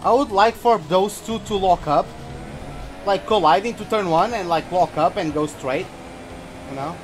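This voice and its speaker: A man's voice: sits high, normal speed, low-quality recording. A young man from Portugal giving loose, rambling commentary while he plays a racing game, now and then swearing when something surprises him. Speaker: gaming channel host. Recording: game music playing behind.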